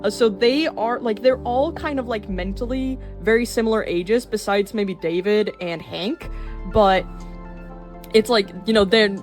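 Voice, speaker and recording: A woman of twenty-one chatting casually in a rambling way while showing her sketchbook, with fillers and quick laughs. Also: mid-range voice. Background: soft background music.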